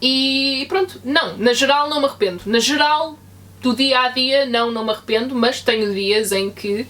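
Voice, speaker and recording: A young woman from Portugal with a European Portuguese accent, chatting casually and answering viewer questions in an unscripted vlog. Her voice sits a little high, and she trips over her words with "ahm" and restarts as she talks. She sounds a little set back from the microphone. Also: normal speed, fairly bright tone, recorded from a distance. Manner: chatty, frequent fillers and self-corrections, laughs easily. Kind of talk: casual Q&A chat.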